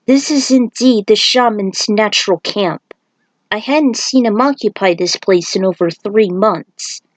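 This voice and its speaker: A woman's voice: medium pitch, unhurried, no echo, close to an everyday voice. Lady reading as a grave thunder god guy, formal and stately, storybook style, dry sound. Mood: solemn, instructive. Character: solemn male thunder god, guardian and mentor.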